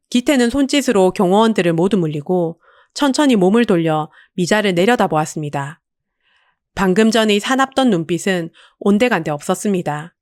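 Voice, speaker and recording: A woman narrates an audiobook in a dramatic, scripted storytelling style. Her voice is mid-pitched and recorded very dry, with little room sound. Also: no echo.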